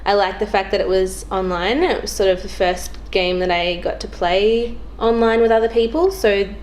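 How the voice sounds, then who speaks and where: A woman's voice: mid-range voice, steady tone, normal speed, a little mellow, a little hiss or hum. A young woman chats casually as a podcast guest, thinking aloud in an informal, hesitant way. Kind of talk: casual chat.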